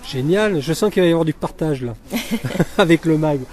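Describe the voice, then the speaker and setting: A man's voice: a little high, expressive, moderate pace, darkish tone. A man from the south of France hosting a web magazine interview outdoors, chatty and hesitant with many fillers. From Fréjus, southern France. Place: outdoors at a beach cleanup.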